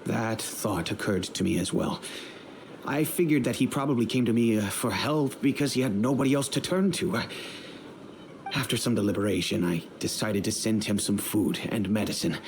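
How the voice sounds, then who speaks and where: An actor playing a middle-aged, remorseful wealthy merchant and father in a video game, speaking in a measured, earnest, formal way. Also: fairly low pitch, a little hiss or hum, lightly acted. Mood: regretful, troubled. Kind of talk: dramatic video game dialogue.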